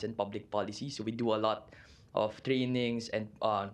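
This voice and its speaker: A man with a Filipino accent giving a fluent, articulate self-introduction. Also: on the low side. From Philippines. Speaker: nonprofit co-founder. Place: legislative chamber, live stream.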